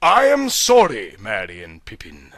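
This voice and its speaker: A man voicing a grave old male wizard in a low, somber voice. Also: wildly swooping pitch, a little slow, fairly bright tone, dry recording, clean studio sound. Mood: solemn.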